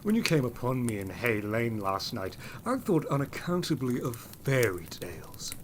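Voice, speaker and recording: A man acts out an abrupt, imperious master of a gothic house, questioning and ordering in a mid-pitched voice. It is period audio drama, with clear background noise behind him. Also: big pitch swings, normal speed, close to an everyday voice. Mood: brusque, probing. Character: brusque, commanding gentleman master of a gothic manor.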